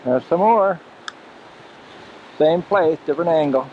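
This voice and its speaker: A man's voice: on the high side, a little slow, a little hiss or hum. A man giving casual, chatty running commentary while filming a home video outdoors on a foggy rocky coast, repeating himself with dry humor. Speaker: home video camera operator. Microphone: built-in camcorder mic. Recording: muffled camcorder audio with loud surf. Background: surf and waves.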